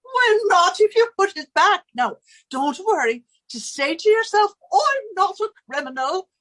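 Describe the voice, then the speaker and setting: Very high-pitched Irish neighbour lady. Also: big pitch swings, a little slow, a bit bright, full character voice, poor audio. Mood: coaxing. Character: older Irish woman neighbour, brisk and coaxing. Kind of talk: 1940s radio comedy acting.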